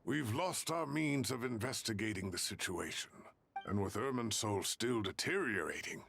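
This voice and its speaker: A man's voice: fairly low pitch, animated, moderate pace, gravelly, a bit bright, a little hiss or hum. A man voicing an earnest, articulate scholar in a dramatic video game scene. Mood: grave. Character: earnest adult male scholar who studies the ley lines.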